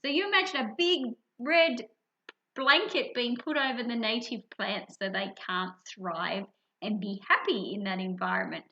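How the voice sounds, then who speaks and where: Woman, high bright voice, expressive teacher, explanatory children's lesson, stressed key words. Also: moderate pace, creaky, dull audio. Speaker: teacher presenting a reading lesson.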